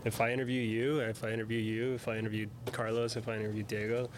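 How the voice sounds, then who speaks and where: A man's voice: lowish voice, little pitch movement, fast, slight background noise. A man whose first language is Spanish, explaining his work as a team's video creator at length and earnestly, in a casual chat. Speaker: video content creator for a sports team. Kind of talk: casual podcast conversation.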